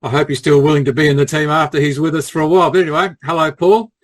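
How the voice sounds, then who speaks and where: A man leads a casual interview in a friendly, welcoming tone. His voice sits a little higher than average. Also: steady tone, rapid-fire.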